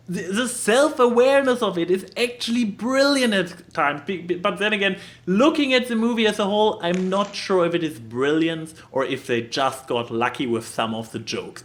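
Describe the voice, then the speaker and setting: High-pitched, animated man chatting. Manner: animated, acts out quotes. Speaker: podcast co-host. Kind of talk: casual movie discussion.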